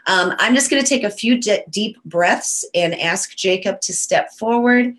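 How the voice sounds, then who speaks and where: A woman's voice: medium pitch, a little slow. A woman from Western New York, a psychic medium, chatting casually on a talk show with a nasal voice from a cold and frequent ums. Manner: chatty, loose, many ums and uhs.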